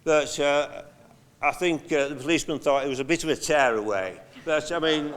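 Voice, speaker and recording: An older man giving a halting, conversational memorial eulogy, telling anecdotes from notes with frequent pauses. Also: on the high side, a bit bright. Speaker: eulogy speaker, friend of the deceased. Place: large hall at a memorial service. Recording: long hall reverb.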